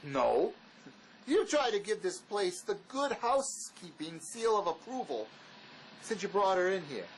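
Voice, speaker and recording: An actor playing a wary, blunt escaped convict in a drama, speaking in an argumentative, insistent manner. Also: male voice, sits high, big pitch swings, unhurried, a little hiss or hum, full character voice, low-quality recording. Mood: exasperated, arguing. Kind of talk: dramatic dialogue reading.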